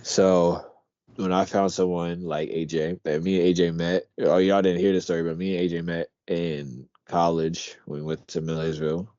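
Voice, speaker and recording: Deep-voiced, quiet-talking young man. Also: moderate pace, dry recording, muffled recording. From Pennsylvania. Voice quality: deep, quiet, talks through his teeth. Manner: relaxed, rambling, swears casually. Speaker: podcast co-host. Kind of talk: casual chat.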